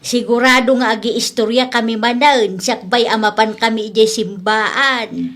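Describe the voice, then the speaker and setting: A woman's voice: a little high, fairly even intonation, normal speed, a bit bright, close to an everyday voice. A woman playing a gossipy, good-humored older village mother in a comedy drama, speaking with an Ilocano accent. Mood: matter-of-fact. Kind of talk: Ilocano comedy radio-style drama acting.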